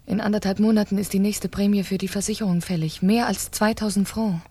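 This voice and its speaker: An actress playing a cold, impatient wife who plots murder, insistent and clipped, in a 1970s crime radio play. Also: female voice, medium pitch, steady tone, brisk, dark tone, breathy edge, no echo.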